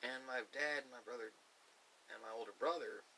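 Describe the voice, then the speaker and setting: A middle-aged man telling a personal story in a reflective way, halting often between short phrases. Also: mid-range voice, pushed and bright, rough recording. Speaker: ASMR video creator. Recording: clear background hiss.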